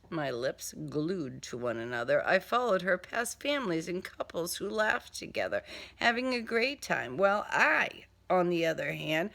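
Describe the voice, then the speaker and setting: A woman narrating a first-person crime story in a deliberate, dramatic, sardonic manner. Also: lowish voice, gravelly, a bit bright. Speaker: author reading own crime novel.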